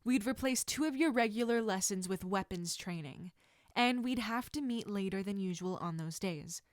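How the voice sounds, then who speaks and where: A woman voicing an encouraging adult female martial arts teacher in measured, instructive story dialogue. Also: mid-range voice, dry recording, studio quality, lightly acted. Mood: amused, encouraging. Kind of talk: audiobook-style character dialogue.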